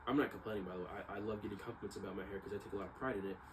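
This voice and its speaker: A man's voice: lowish voice, steady tone, racing. A young man talking casually in a rambling, slangy monologue, often repeating himself and checking in with "right?". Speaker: vlogger. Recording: distant mic, clearly noisy background.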